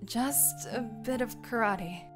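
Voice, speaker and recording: A woman's voice: fairly high pitch, slow. A young woman acting an earnest tomboyish teenage girl in a manga fandub, with inner monologue. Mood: awkward, sincere. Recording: roomy voice with music behind.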